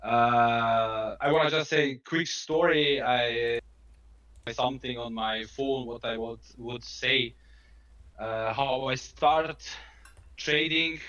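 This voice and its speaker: A Croatian man speaks as a guest, telling a personal story informally. His English is halting and accented, and his pitch sits in the middle. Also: steady tone, fairly bright tone, dull audio. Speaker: guest on a trading live stream.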